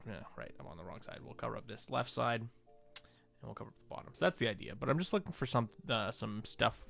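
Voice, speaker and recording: A man's voice: on the low side, gravelly, fairly bright tone. A man casually narrating his work on a livestream, musing and thinking aloud in hesitant fragments. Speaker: streamer and visual artist. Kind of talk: casual livestream commentary while working on screen.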